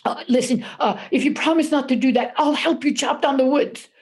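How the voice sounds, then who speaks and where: A woman's voice: high voice, a little fast, a little mellow, voice pushed up, natural voice. A woman voicing a great big huge male troll in a storytelling, given to roaring threats and stammering pleas. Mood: frightened pleading.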